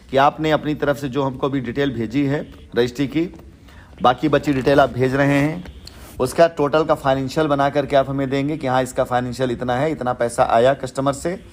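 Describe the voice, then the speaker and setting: A man talking as a company boss on a recorded business phone call, rhetorical and repetitive, building points with lists and analogies. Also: medium pitch. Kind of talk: informal business phone conversation.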